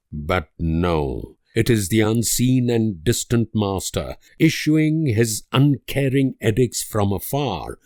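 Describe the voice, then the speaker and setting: An actor playing a grave, idealistic and world-weary political leader in a strategy game, speaking in measured, solemn oratory with dramatic pauses. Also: male voice, fairly low pitch, a little mellow, no echo, studio quality. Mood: brooding.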